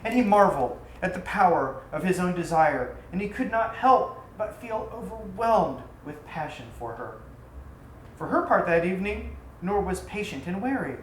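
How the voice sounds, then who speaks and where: A woman's voice: lowish voice, expressive, normal speed. Woman, scripted story narration, theatrical storybook delivery, roomy echo, slight background noise. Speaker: storyteller reading aloud. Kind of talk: scripted narration of an exotic tale.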